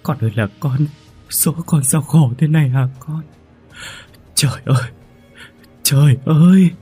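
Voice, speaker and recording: A man's voice: on the high side, a little slow, mellow, husky, soft background music, pitched above the performer's own voice. A man voicing a young mother who wails and pleads in grief over her child. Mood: grieving. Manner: wailing, pleading, sobbing cries.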